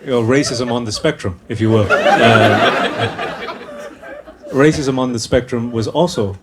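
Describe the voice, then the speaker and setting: A man from Sri Lanka doing conversational stand-up comedy in a hesitant, deadpan way on a stage microphone at a live venue. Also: mid-range voice, unhurried. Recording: noisy live show recording.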